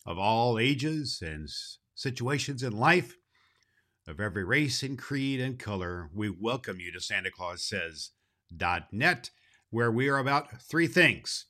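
Male performer as grandfatherly Christmas gift-bringer, jolly and hearty; forceful bright voice, swooping intonation, deliberate storyteller phrasing for kids. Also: medium pitch, animated. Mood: jolly, welcoming. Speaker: host of a children's Christmas story show. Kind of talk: hearty in-character greeting and storytelling for children.

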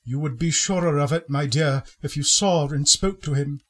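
A man playing a gentle, grieving Victorian father in a radio play, speaking tenderly and earnestly in a husky voice. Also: on the high side, normal speed. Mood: warmly earnest. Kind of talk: sentimental radio play acting.

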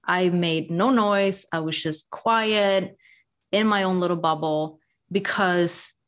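A woman from Sweden speaks as an interview guest in a lowish voice. She tells her story thoughtfully and evenly, taking her time over each point. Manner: reflective, measured storytelling. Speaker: podcast guest. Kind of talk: conversational interview.

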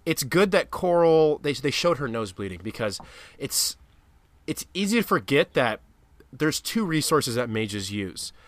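A man's voice: medium pitch, big pitch swings, a little slow. A man with a stuffy nose, co-hosting a podcast, joking around and explaining lore at length. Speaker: podcast co-host. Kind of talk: casual chat.

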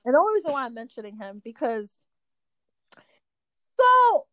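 A woman's voice: high voice, sing-song, normal speed, bright, forceful tone, no room sound, poor audio. A young woman chatting casually as she tells her side of a story, talking in slang and often restarting her sentences.

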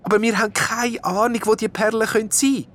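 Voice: medium pitch, steady tone, fairly quick, a bit bright, voice pushed up, close to an everyday voice. A storyteller voicing a young, brave but puzzled princess asking earnest questions.

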